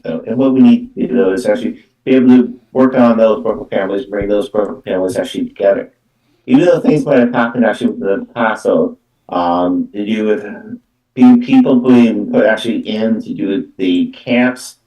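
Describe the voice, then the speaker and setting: A low-pitched man speaks from a little way off the microphone in an echoey room. He gives spiritual card readings as a medium, improvising as he goes. His phrasing is halting and circles back on itself, padded with repeated filler words. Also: warm tone, far from the mic. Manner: repetitive, halting phrasing with verbal tics like "though" and "actually".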